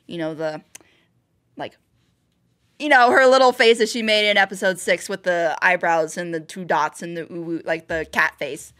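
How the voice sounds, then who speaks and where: A woman's voice: fairly low pitch, a bit bright. A woman hosting a reaction video, thinking aloud in rambling, casual commentary with bursts of exclamation.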